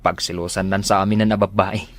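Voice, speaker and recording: An actor with a Filipino accent playing an exasperated, weary husband in a radio drama. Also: male voice, sits low, little pitch movement, fast, natural voice. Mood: dejected, pleading. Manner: weary, complaining. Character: exasperated husband worn down by jealous wife.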